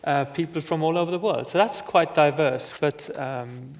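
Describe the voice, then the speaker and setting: A man's voice: on the high side, normal speed. Earnest man reflecting at a panel. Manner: reflective, earnest, frequent "you know". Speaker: panel guest, former footballer. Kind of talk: conversational panel talk. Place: conference stage.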